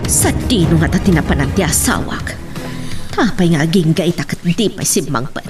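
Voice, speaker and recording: Expressive, worried Filipino mother played by a woman. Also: sits low, normal speed, recorded from a distance, over loud music. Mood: anxious. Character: worried wife and mother, gentle with her daughter. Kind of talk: Filipino radio-style drama acting.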